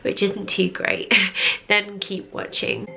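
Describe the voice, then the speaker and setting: Croaky congested woman vlogging. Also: medium pitch, lively intonation, sharp tone. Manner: chatty, lots of fillers, drawn-out repeated words. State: hoarse and congested from a cold. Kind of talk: casual pregnancy update vlog.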